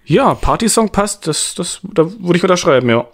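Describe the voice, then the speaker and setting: A man's voice: sits high, animated, normal speed. A man co-hosting a music podcast, talking casually about songs and singers.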